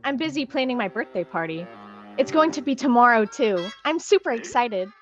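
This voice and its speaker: A woman's voice: a little high, lively intonation, fairly quick, lo-fi sound, soft background music, natural voice. A woman playing a small, cheerful, earnest ten-year-old child in a fan-made comic dub.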